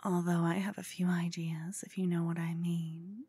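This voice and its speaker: A woman's voice: lowish voice, flat delivery, moderate pace, warm tone, husky, no room sound. A woman playing a cute, obsessed, scheming yandere con woman in an ASMR roleplay, coy and cooing, often slipping into whispers. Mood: coy, lovesick.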